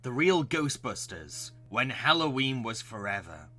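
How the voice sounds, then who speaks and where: A man's voice: medium pitch, big pitch swings, measured pace, sharp tone, faint music behind. A man reading scripted narration as a reviewer presenting cartoons. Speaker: video essayist reviewing cartoons.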